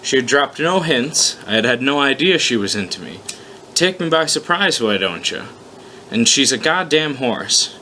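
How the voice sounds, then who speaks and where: A man's voice: mid-range voice, big pitch swings, normal speed, pushed and bright, low-quality recording. A man reading aloud as a wry, flustered human man who narrates his own predicament with sarcastic exasperation. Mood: nervous sarcasm. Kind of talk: first-person story read aloud. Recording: noticeable background noise, amateur recording.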